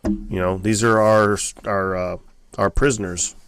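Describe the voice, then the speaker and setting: An American man with a low voice and a gravelly fry, talking casually as a podcast co-host. He shares opinions in loose, informal phrasing, and the sound is close and dry. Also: steady tone, a little slow, no room sound, soft background music. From United States.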